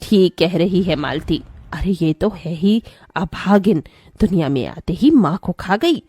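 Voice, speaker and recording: A woman's voice: on the low side, animated, unhurried, mellow, husky, no echo, clean studio sound. A woman voicing a spiteful, superstitious middle-aged neighbour in a cartoon story, taunting and disapproving. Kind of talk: Hindi cartoon story voice acting.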